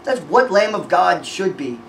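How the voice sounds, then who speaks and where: A young man hosting a music reaction, talking casually with excited, profane, rambling praise. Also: sits high, a little slow, vocal fry, distant mic. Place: small room with red backdrop. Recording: echoey room, voice a bit off-mic, faint noise.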